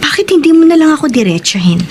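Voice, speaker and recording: An actress playing a hurt but resolute wife in a Filipino radio drama, speaking with earnest emotion and a Filipino accent. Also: female voice, sits high, big pitch swings, quick pace, background noise. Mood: hurt, challenging. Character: hurt, resolute young wife confronting her husband. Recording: music bed under the voice.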